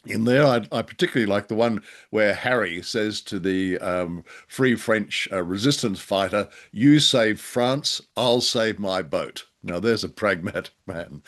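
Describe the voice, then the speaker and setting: A man with a fairly low voice talks as a podcast co-host in an unscripted discussion. He is polite and wry, and his phrasing halts and hesitates as he searches for words. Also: measured pace, a bit bright. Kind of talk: unscripted discussion of old-time radio drama.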